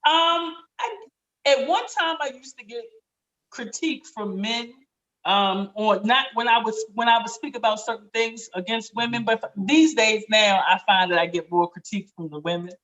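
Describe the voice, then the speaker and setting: A woman with a raspy voice speaking candidly and emphatically in casual, slangy podcast conversation, swearing freely. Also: a little high, moderate pace, fairly bright tone, rough recording. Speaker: podcast guest.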